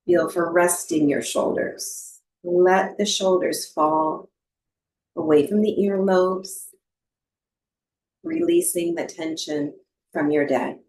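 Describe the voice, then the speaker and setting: A woman leads a guided meditation in a low, soothing voice. She speaks very slowly and gently, leaving long pauses between short instructions. Her voice carries a clear roomy echo. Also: animated. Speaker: yoga nidra and breathwork teacher. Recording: roomy, echoing voice recording.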